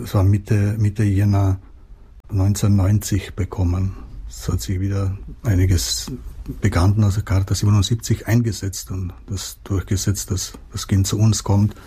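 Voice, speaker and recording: A man's voice: lowish voice, warm tone, slightly rough. A middle-aged Czech-born man with a Czech accent, a writer answering interview questions hesitantly with many fillers and restarts.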